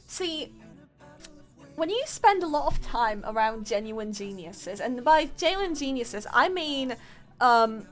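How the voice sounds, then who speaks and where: A woman's voice: on the high side, animated, slow pace, soft background music. A young woman from Poland, a native Polish speaker, telling a story to her stream chat in a giggly, self-mocking way.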